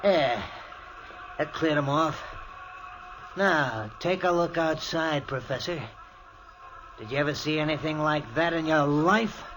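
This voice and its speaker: An actor playing a blunt, matter-of-fact helper in a 1940s radio drama. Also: male voice, a little high, unhurried, pauses often, natural voice. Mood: tense. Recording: old radio recording with clear hiss.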